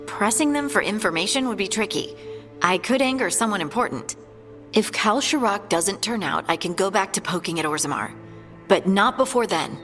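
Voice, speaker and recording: A woman's voice: medium pitch, expressive, normal speed, fairly bright tone, lightly acted. Actress as friendly dwarf scout lady, thoughtful n earnest, game dialogue, long reverb w music under. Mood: businesslike. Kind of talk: video game voice acting.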